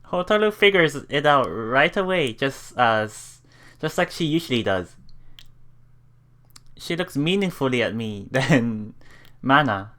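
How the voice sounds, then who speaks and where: A woman's voice: rumbling low, lively intonation, a little slow, pauses often, fairly bright tone. A woman hosting a let's play, reading visual novel text aloud and reacting with giggly asides. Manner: giggly, reactive asides between read lines.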